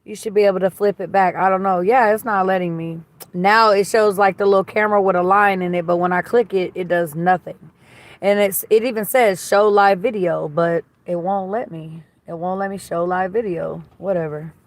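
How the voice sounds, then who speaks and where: A woman with a slightly raspy voice hosting a livestream, chatting casually and reading viewer comments in a blunt, gossipy way that is full of swearing. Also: mid-range voice, fairly even intonation, normal speed. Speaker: livestream host.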